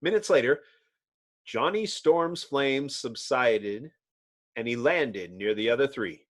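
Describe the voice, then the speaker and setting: Slow, theatrical comic-book narrator. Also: mid-range voice, animated. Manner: theatrical, storybook-like. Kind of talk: dramatic scripted narration.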